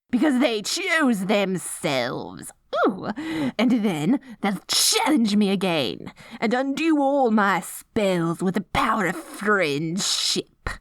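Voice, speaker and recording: A woman's voice: on the low side, dry recording. A woman playing a short, dorky evil queen in a scripted audio roleplay, melodramatic and gleefully scheming, given to petty indignant outbursts.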